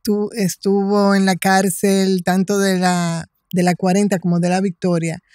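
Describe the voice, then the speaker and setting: Female podcast guest, 60s, Dominican accent, conversational storyteller with halting delivery, recorded dry. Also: medium pitch, flat delivery, a little mellow, no room sound. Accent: Dominican Spanish. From Dominican Republic. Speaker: podcast guest, writer and cultural promoter.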